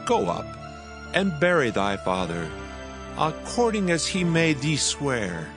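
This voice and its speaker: Male actor as stately Egyptian king, slightly high, slow, regal, declamatory, music underneath. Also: wildly swooping pitch, full character voice. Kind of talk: dramatized scripture audio.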